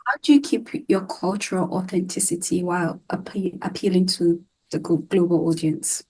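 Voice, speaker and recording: A woman's voice: on the low side, fairly even intonation, moderate pace, darkish tone, breathy edge, rough recording. A woman hosting a casual interview, effusive and encouraging, with many fillers and "like"s. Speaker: interview host.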